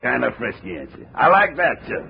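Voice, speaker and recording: Creaky, folksy lawman. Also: mid-range voice, very animated, brisk, full character voice. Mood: wry, approving. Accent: American. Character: gruff, wry, folksy small-town American lawman sizing up a newcomer. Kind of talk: 1950s radio drama acting. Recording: dull, noisy old radio recording.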